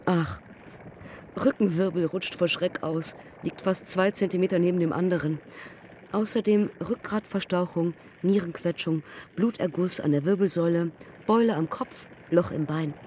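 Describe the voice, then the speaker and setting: An actress in a radio play playing a young pioneer woman aviator who recalls her crashes in terse, measured fragments. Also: lowish voice, darkish tone, a little hiss or hum, close to an everyday voice. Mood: terse, haunted. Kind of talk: literary radio drama acting.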